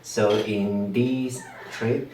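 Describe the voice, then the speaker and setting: A man teacher, calmly explaining his answers in an interview. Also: fairly low pitch, very slow, a crowd in the background. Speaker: visiting teacher from Hong Kong. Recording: roomy, distant mic, faint room noise.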